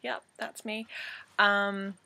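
A young Australian woman in her early twenties talks straight into a webcam from her bedroom. Her voice has a bright, clear ring, set at a middling pitch. She rambles in a self-deprecating way, with plenty of ums along the way. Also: flat delivery, extremely slow. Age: 20s. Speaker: vlogger. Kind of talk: casual self-introduction. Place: bedroom with poster-covered walls, indoors. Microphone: webcam at desk.

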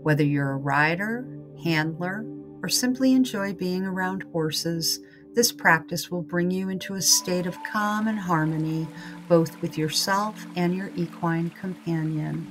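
Female meditation guide, slightly low voice, very unhurried scripted read, soothing and calm. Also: over music.

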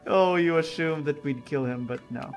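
A man's voice: fairly high pitch, slow pace, soft background music. A man giving casual commentary on a game playthrough, musing and reacting aloud. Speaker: gaming video creator.

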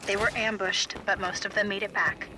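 Game role: actress playing casual female resistance friend, medium pitch, distant from mic. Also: level tone, fairly quick, close to an everyday voice. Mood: casual, upbeat. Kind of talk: video game cutscene acting. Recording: distant, loud vehicle noise.

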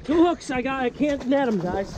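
A man's voice: very high voice, lively intonation, normal speed. A man on a fishing boat chatting and joking with the anglers, laughing often. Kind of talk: casual chat. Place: open deck of a fishing boat at sea, outdoors. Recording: loud boat engine and wind noise.

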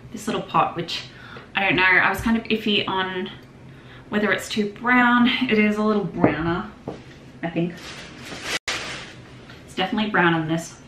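A young woman with a lowish voice chats her way through a casual shopping haul, rambling and laughing easily. She sits at a desk in a home craft room, picked up by a camera a little way off. The room is echoey, with noise behind her. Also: pushed and bright. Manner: chatty, rambling, with frequent laughs and restarts. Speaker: vlogger.

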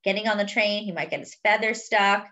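A woman reading a children's picture book aloud expressively, pausing to explain words and pictures as if to young children. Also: medium pitch, sharp tone, muffled recording. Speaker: host of a children's read-aloud.

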